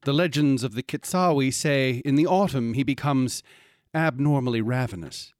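A man's voice: medium pitch, dry recording, close to an everyday voice. Man playing a grave storyteller, very dry sound. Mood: grave, expository. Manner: measured, storytelling, ominous. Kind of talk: radio drama acting.

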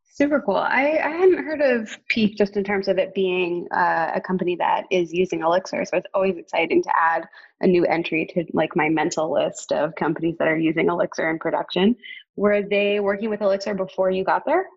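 A woman's voice: lowish voice, fairly even intonation, brisk, creaky, breathy edge, lo-fi sound. A woman hosting a podcast, introducing guests in an upbeat, friendly way. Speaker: podcast host.

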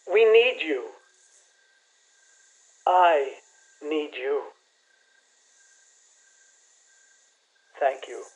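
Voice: mid-range voice, muffled recording. An actor playing an anguished, pleading caller reaching out to someone lost, in a radio play. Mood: pleading, grieving. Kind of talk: radio drama acting.

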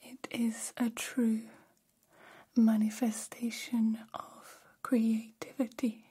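A woman voicing a gentle, curious humanoid alien in whispered ASMR roleplay, speaking in soothing, stilted, formal phrases. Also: on the high side, little pitch movement, slow, heavily acted. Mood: awed, gentle. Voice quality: breathy whisper.

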